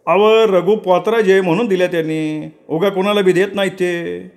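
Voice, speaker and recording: A pushy village farmhand, as a man acts him out, loud and chatty, his voice pitched well up and full of coaxing banter. He boasts and wheedles in a storybook reading. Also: steady tone, moderate pace, creaky. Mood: boisterous, coaxing.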